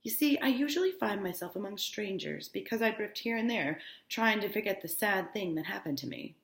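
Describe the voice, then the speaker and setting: A woman's voice: mid-range voice, animated, a little fast, pitched above the performer's own voice, natural voice. A genteel, wealthy young man, as a woman reader puts him on from a classic novel. He speaks formally and confidingly, with easy self-assurance. The room is audibly echoey. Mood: confiding, self-assured.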